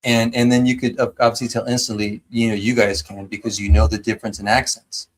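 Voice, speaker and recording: A man's voice: lowish voice, little pitch movement, fast, rough recording. An American man from San Diego hosting a live stream, chatting enthusiastically with lots of fillers and restarts. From San Diego, United States. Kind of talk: casual chat.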